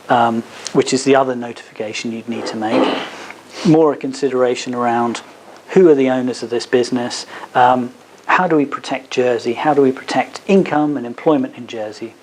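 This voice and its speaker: A man with a mid-pitched voice gives a seminar answer as a legal adviser. He explains in a hesitant, careful way, hedging as he goes. There is faint noise behind him. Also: a little mellow, low-quality recording. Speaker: advocate giving a legal seminar. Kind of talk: seminar talk answering audience questions. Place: seminar at a business hub.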